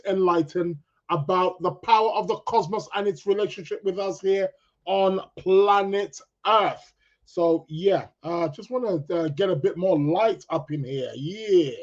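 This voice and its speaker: A man hosting a live astrology podcast in a chatty, upbeat way and greeting listeners by name. His voice is croaky and his nose is stuffed up. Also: high voice. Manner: chatty, upbeat, gives viewers shout-outs. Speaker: podcast host. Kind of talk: casual live-stream chat with listeners. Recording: dull, lo-fi sound.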